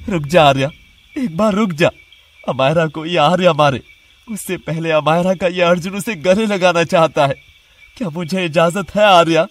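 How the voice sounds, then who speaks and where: An actor playing a caring, playful young male student in love, coaxing gently in a cartoon story. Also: on the high side, normal speed, lightly acted. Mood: pleading.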